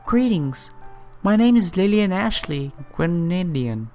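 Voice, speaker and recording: A man voicing a shy, sweet, gentle young schoolgirl from a visual novel, bashful in manner. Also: high voice, very animated, takes it slowly, faint music behind, pitched above the performer's own voice, heavily acted. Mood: sweet greeting. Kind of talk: visual novel character read aloud.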